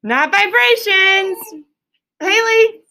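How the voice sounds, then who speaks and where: A woman's voice: very high-pitched, drawn-out words, bright, forceful tone. A woman teaching an elementary class, quizzing pupils in a firm, directive manner. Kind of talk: classroom lesson with question and answer.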